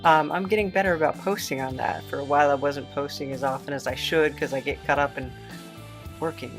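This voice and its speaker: A woman's voice: low voice, steady tone, a little fast, over music. A woman hosting a podcast, chatting casually and informally to listeners with some hesitancy. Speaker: host and podcast creator.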